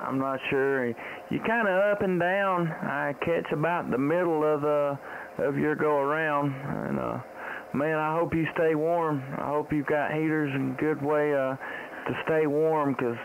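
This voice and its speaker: A man's voice: a little high, unhurried, vocal fry, narrow, tinny audio. Folksy Southern US man, faint static. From United States. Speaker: ham radio operator, live-stream host. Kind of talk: casual radio contact chat. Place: small indoor home radio room. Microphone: boom-arm studio microphone, close, with headset. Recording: steady radio static noise.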